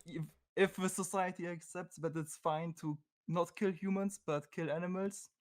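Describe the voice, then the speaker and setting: A man's voice: sits high, steady tone, moderate pace. A man from Germany with a German accent, a non-native English speaker debating on a call, hesitant and searching for words. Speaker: caller.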